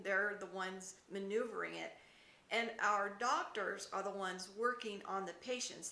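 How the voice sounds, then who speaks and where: A woman hosting an advice vlog as a company executive, speaking in a deliberate, presentational way and posing rhetorical questions. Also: medium pitch, sharp tone. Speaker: company CEO and video host. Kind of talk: scripted advice vlog to camera. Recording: echoey room.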